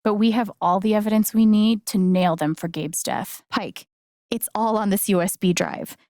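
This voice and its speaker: A woman playing a sincere, gently wry young game heroine, with an American accent and an understated, conversational delivery. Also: mid-range voice, steady tone, normal speed, no echo, studio quality, natural voice. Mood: determined. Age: young adult. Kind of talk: naturalistic video game voice acting.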